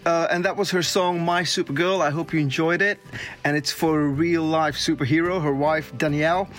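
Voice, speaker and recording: An interviewer hosting a segment and asking upbeat rapid-fire questions. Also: medium pitch, steady tone, fairly bright tone. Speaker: interviewer host.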